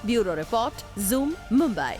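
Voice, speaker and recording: चंचल, उतार-चढ़ाव भरी आवाज़ में महिला समाचार वाचिका. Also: female voice, a little high, very animated, measured pace, a little mellow, soft background music. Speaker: news reporter. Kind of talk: scripted entertainment news voice-over.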